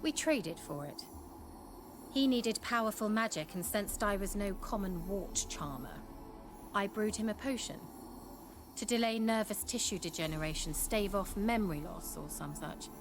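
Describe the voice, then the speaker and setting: An actress playing a vain, sharp-tongued sorceress in a video game, speaking in a haughty, wry, coaxing manner. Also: female voice, medium pitch, expressive, moderate pace, natural voice. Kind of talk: video game cutscene acting. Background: game soundtrack music.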